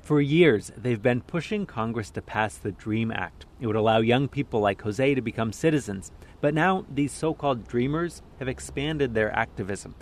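A man narrating a radio news feature in a measured, scripted delivery. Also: medium pitch. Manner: measured, even reporting. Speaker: reporter.

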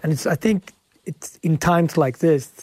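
A man's voice: on the high side, a little slow, darkish tone, husky, no echo. A man from Sweden, a chef and author, answering questions earnestly in a radio interview. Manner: earnest, reflective, frequent "right?" tags.

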